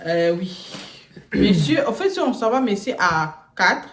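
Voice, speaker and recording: A chatty woman from Congo runs a casual quiz game, repeating words and laughing as she talks. Her voice sits at a middle pitch and rings in an echoey room, with a faint hiss behind it. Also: big pitch swings, unhurried. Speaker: channel co-host. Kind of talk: casual quiz game between two people.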